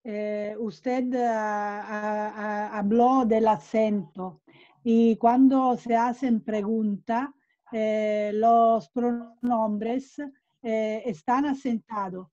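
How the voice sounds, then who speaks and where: A woman student whose first language is Italian, politely asking a grammar question with many pauses. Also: on the high side, steady tone, dark tone. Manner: hesitant, polite, many fillers. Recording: muffled video call audio.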